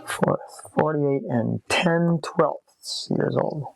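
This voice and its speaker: A man's voice: fairly high pitch, creaky. A man in his late forties with a scratchy voice, unhurriedly telling his life story in an interview. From Massachusetts. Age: 40s. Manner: unhurried, reflective, with pauses. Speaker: interviewee, musician. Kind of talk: relaxed conversational storytelling. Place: upstairs room of an apartment, at a desk.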